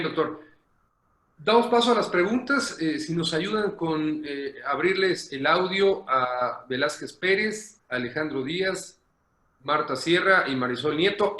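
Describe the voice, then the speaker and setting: A man's voice: fairly high pitch, lively intonation, unhurried, sharp tone, roomy sound. A man moderating an online forum, who hands the floor to the questioners briefly and politely.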